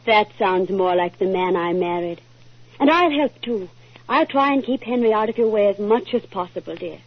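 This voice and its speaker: An actress in a 1940s radio drama playing a long-suffering, protective wife, earnest and theatrical. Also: female voice, medium pitch, lively intonation, moderate pace. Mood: conciliatory. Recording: muffled lo-fi 1940s radio recording.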